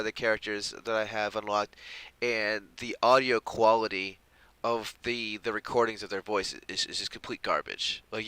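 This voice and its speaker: Clear, bright low-voiced male game streamer. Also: poor audio. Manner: slangy, swearing, animated mimicry. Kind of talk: casual live gameplay commentary.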